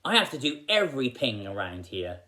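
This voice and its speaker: A man's voice: medium pitch, big pitch swings, fairly quick, pushed and bright. A man voicing a wicked old woman witch in a children's storybook, greedy and threatening, with a snappish, commanding manner. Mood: impatient, bossy.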